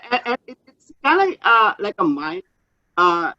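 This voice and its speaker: A man from the Philippines working as a nurse, telling stories with lively quoted voices. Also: high-pitched, slow pace, dull audio. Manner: storytelling, animated quotes.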